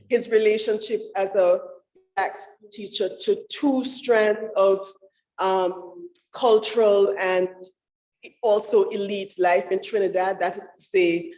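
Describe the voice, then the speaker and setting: A woman's voice: mid-range voice, a little mellow. A woman talks through her research in a university lecture room, searching for words with many restarts and fillers. The room is echoey, and the sound is a little dull and muffled. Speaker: featured author at a book talk. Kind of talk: academic talk about her research. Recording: roomy, dull room audio.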